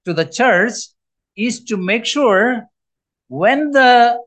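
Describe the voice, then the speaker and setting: A man in his seventies preaching a sermon to a congregation, earnest and emphatic, with many pauses. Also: extremely high pitch, a bit bright. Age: 70s.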